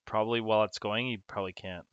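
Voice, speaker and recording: A low-pitched man with a bright edge, joking dryly at the game table. He is recorded up close with almost no room sound. He teases more than he leads. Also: steady tone, quick pace, smooth, no echo, dull audio. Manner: wry, teasing jokes. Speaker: player handling the recording. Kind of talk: casual chat at a game table.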